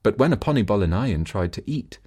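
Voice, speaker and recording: A male narrator reads a folk tale from a script. His voice is fairly low and husky, and his intonation is very lively, rising and falling widely. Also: a little fast. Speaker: storybook narrator.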